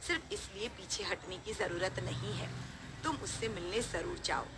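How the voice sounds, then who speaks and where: A woman's voice: mid-range voice, lively intonation, normal speed, natural voice. A woman voicing a caring middle-aged mother in a cartoon story, coaxing and reassuring. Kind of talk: acted dialogue in a cartoon story.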